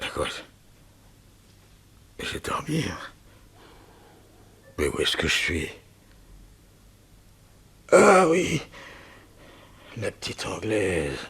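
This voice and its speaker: Husky, animated, kindly gentleman. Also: low-pitched, exaggerated voice. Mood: groggy. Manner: familiar, solicitous, full of interjections. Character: kind, jovial, protective gentleman helping a stranger. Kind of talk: 1960s French radio serial acting.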